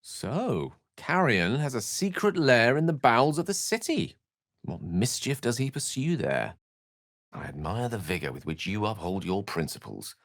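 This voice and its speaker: A man's voice: mid-range voice, a bit bright, no room sound, clean studio sound. An actor playing an eloquent, learned wizard in a video game, articulate and bookish, wry and earnest in his florid phrasing. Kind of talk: scripted video game character dialogue.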